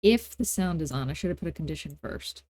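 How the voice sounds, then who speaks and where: A woman hosting a casual software tutorial, talking in an informal, playful and self-deprecating way. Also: low-pitched, animated, fast, no room sound. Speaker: tutorial host.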